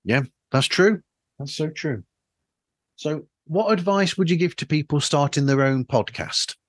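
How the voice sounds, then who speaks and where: A man's voice: a little high, measured pace, no room sound. A man hosting a podcast, asking questions in a casual interview style through a flawed microphone. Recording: flawed microphone sound, dry.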